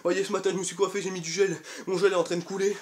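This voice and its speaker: A man's voice: high-pitched, racing, a little hiss or hum. A young man coaching a home leg workout while doing it himself, counting reps and pushing viewers on between breaths. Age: young adult. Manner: energetic, encouraging, exercising while talking. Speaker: fitness youtuber. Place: bedroom at home. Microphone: camera mic from across the room. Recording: echoey room, voice from far off.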